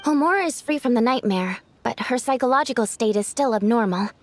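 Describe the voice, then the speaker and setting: A woman playing a clinic worker who explains things calmly in a game. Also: fairly high pitch, fairly quick, a little hiss or hum. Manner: calm and explanatory. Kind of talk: video game voice acting.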